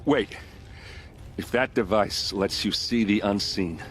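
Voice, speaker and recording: An actor playing a gruff, wry and earnest warrior in a video game. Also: male voice, on the low side, takes it slowly, fairly bright tone, slight background noise. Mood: earnest pleading. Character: gruff, wry warrior man, grieving and loyal.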